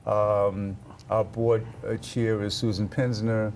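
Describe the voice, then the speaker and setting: Male interview guest, middle-aged. Low and mellow, measured conversational delivery. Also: flat delivery. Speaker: arts organization leader, interview guest. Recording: faint background noise.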